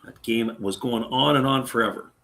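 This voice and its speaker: A man with a gravelly, slightly worn voice calls a baseball game pitch by pitch. He talks in a relaxed, chatty way and stops now and then to answer viewer comments. His voice has a raspy edge from talking for a long time. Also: normal speed. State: voice getting raspy. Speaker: play-by-play commentator. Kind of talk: live baseball play-by-play with chat reading.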